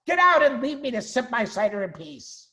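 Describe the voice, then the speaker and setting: A man's voice: sits high, huge pitch swings, slow pace, pitched above the performer's own voice, heavily acted. A man voicing a greedy, snappish male rat who shrieks his lines. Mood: shrieking.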